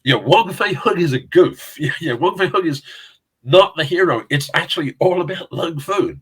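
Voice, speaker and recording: Husky man with a South London accent. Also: on the high side, animated, normal speed, fairly bright tone. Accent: South London English. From England. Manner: rambling anecdotes, laughing often, swearing. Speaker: interview guest, casting director and actor. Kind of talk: casual chat.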